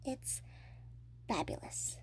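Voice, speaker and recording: A woman's voice: slow, slight background noise, lightly acted. A woman voicing a curious, determined little girl in a storybook, eager and coaxing.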